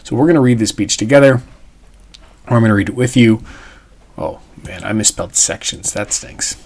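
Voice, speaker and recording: A man's voice: fairly low pitch, fairly quick, a little mellow. A man speaking as a teacher, reading a text aloud and explaining it in an informal, emphatic way. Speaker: history teacher. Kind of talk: read-aloud lesson with explanations.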